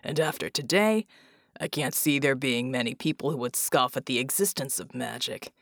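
A woman voicing a world-weary male mercenary who talks bluntly in a low, gravelly drawl. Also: moderate pace, dry recording, clean studio sound. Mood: gruff.

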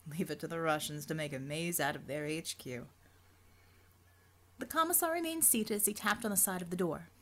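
A woman's voice: on the low side, lively intonation, normal speed. A woman reading a scripted fiction audiobook as a dramatic narrator.

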